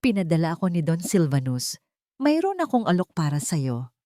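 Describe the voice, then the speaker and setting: A woman voicing a cold, pitiless male lawyer in a narrated drama, speaking formally and deliberately. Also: fairly low pitch, big pitch swings, mellow, dry recording, clean studio sound. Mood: cold, threatening. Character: cold, calculating male lawyer of a rich family.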